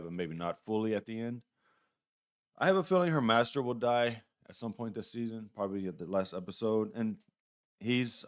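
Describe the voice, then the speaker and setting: A man with a fairly low, smooth voice talks through a casual review. His delivery is conversational and unscripted, wandering from point to point and hedging as he goes. The tone is clean and resonant. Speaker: YouTube reviewer.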